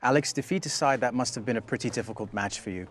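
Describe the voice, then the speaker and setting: A quick, professional sports reporter, voiced by a man fires off questions at a rapid pace in a mid-range voice. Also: mid-range voice, close to an everyday voice.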